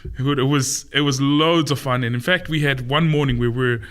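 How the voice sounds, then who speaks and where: Man, opera tenor guest, bright forceful voice, laughs a lot while telling stories. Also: medium pitch, moderate pace. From Samoa, raised in New Zealand. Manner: laughs often, animated anecdotes. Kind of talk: casual interview storytelling.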